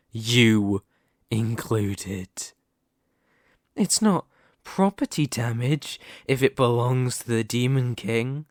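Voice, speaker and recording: Man acting a slow, menacing, gloating demon lord. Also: medium pitch, dry recording, studio quality. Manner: slow, menacing, taunting with drawn-out words. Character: dominant, gloating, unhinged male demon lord. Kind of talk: ASMR fantasy roleplay acting.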